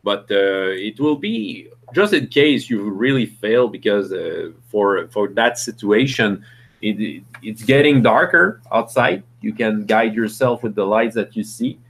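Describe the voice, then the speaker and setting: A man's voice: on the low side, expressive, fairly bright tone. A man with French as his first language, narrating a tabletop game as game master with many restarts. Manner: halting, many restarts and fillers.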